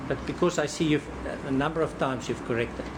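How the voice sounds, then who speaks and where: A man cross-examining a witness in court, deliberate and probing, often repeating words as he frames a question. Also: fairly high pitch, background noise.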